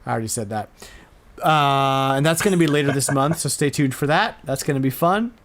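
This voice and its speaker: A man's voice: mid-range voice, brisk, fairly bright tone. A man hosting a podcast in a casual chat, informal and emphatic, repeating himself to make a point. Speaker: podcast host.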